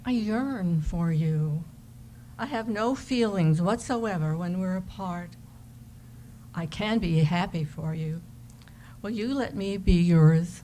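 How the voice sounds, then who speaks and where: A woman playing an effusive woman in love, reading her love letter aloud on stage with emphatic, deliberate phrasing. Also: lowish voice, dark tone, poor audio. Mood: adoring, yearning. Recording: live stage recording with background noise.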